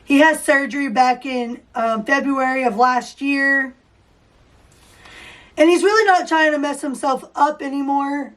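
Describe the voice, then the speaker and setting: A woman with a hoarse, high voice hosts a casual podcast. She talks slowly and rambles with fillers and restarts. Her voice is picked up from a few feet back from the microphone. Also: fairly even intonation. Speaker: podcast host. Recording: voice distant from the mic.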